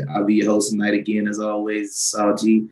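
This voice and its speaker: A man's voice: on the low side, monotone, moderate pace, darkish tone. Tired guy hosting a chat show, voice kinda worn, rambles w fillers, roomy echo. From Atlanta, Georgia. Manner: rambling, many fillers and restarts. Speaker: podcast host. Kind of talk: casual political chat show.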